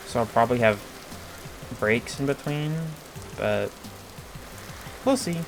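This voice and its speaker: A hoarse man giving casual, hesitant commentary over a video game, thinking aloud with frequent pauses and fillers. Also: mid-range voice, animated. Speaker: gameplay video creator. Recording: clear game music under the voice. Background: game music and rain sounds.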